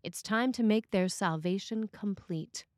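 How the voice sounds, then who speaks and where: A woman priest reading a scripted sermon in a measured, deliberate way. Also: medium pitch, dry recording, studio quality.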